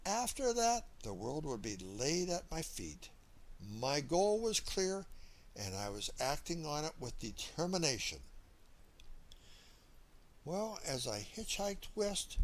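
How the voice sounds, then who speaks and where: A man narrating a first-person memoir as an audiobook reader, telling the story from a script. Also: a little high.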